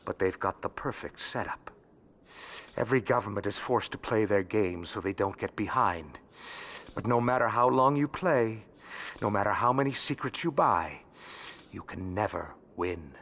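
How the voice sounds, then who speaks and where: An actor playing a cautious, knowing information dealer in a video game, speaking in a measured way. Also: male voice, on the low side, fairly bright tone.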